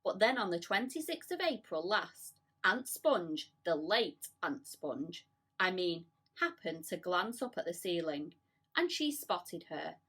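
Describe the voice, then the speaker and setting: A woman's voice: fairly low pitch, sing-song, a little slow, fairly bright tone, slightly rough, exaggerated voice. A woman as a distressed, melodramatic lady spider. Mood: dramatic, mournful. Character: distressed, melodramatic female spider telling sad memories.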